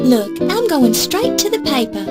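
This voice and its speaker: An eager young woman in a game scene. Her voice is very high, girlish and animated, set against prominent synthesized music. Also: measured pace. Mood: patient, breezy. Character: eager, confident young daughter leaving home. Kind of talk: video game voice acting. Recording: loud music under the voice. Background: loud synthesized game music.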